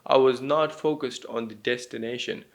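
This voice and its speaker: A man's voice: medium pitch, steady tone, moderate pace, vocal fry. A man from Pakistan with a Pakistani accent touched by American, teaching viewers in an encouraging, simple step-by-step way. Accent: Pakistani with some American influence. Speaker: language teacher and YouTuber. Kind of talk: instructional talk to viewers.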